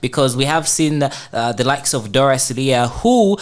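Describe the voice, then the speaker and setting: Co-host guy, mid pitch, lots of uh and you know. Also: expressive, normal speed. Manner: hesitant, many fillers and restarts. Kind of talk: casual podcast discussion.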